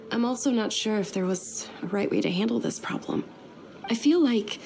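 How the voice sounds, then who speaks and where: A woman playing a gentle, polite young merchant's daughter. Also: medium pitch, natural voice. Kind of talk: dramatic video game dialogue.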